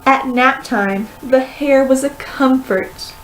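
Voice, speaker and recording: A woman reading a children's story aloud with expressive, dramatic and deliberate phrasing. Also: high-pitched, slight background noise, low-quality recording. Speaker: storybook reader.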